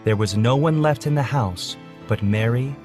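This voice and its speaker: A man's voice: mid-range voice, moderate pace, darkish tone, faint music behind. A man reading a scripted children's story as its narrator.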